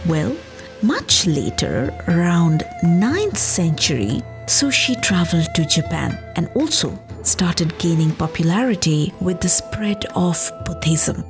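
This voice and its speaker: Slow, animated woman storyteller over music. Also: lowish voice. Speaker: podcast host and storyteller. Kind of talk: scripted storytelling narration. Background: background music.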